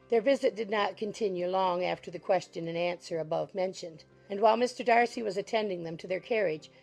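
Female audiobook narrator, measured and formal, a gravelly edge to her voice, faint music underneath. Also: mid-range voice, a little mellow, low-quality recording. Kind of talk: dramatized classic novel narration.

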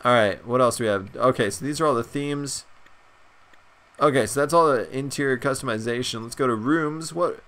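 A young man with a stuffed-up nose, chatting excitedly and rambling through a video game's shop menus as a gaming channel host. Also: mid-range voice, normal speed. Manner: excited, rambling, many fillers. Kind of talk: casual gameplay commentary.

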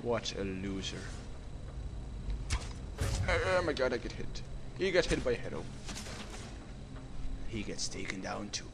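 A young man talks his way through a game in a slow, unhurried drawl, joking to himself and breaking into silly sing-song yelps. His voice sounds worn and going hoarse. A steady hiss of noise sits under the home recording. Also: fairly low pitch. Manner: goofy, rambling, bursts into silly sing-song shouts. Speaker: gameplay commentator. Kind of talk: casual let's play commentary.

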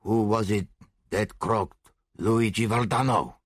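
A man voicing a big, threatening Italian gangster in a mystery audiobook, hoarse and leering. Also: on the low side, slow pace, no room sound, clean studio sound. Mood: menacing.

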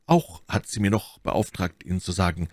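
A man's voice: sits low, normal speed, a little mellow, dry recording. Loyal royal messenger, voiced by a man: French manservant, respectful, earnest, audiobook drama, dry recording. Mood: earnest, deferential. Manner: deferential, earnest. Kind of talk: dramatic audiobook character reading.

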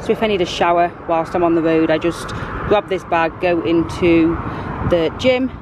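A British woman in her thirties giving a relaxed, chatty walkthrough of her car, filmed on a handheld camera. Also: on the low side, normal speed, noisy background. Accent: British English. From United Kingdom. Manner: chatty, relaxed, lots of fillers. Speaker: vlogger. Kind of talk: casual show-and-tell walkthrough. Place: inside and around a car, outdoors by the sea.

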